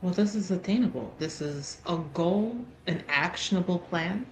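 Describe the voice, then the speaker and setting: A woman's voice: lowish voice, normal speed. A woman in casual, informal roleplay conversation as a tabletop game player, often questioning. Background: background music.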